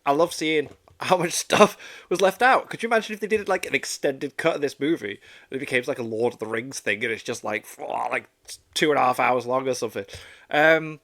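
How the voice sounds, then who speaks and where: A man's voice: mid-range voice, brisk, fairly bright tone. Congested man chatting casually, enthusiastic. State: congested with a cold. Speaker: reaction channel host. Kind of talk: casual chat and short reactions.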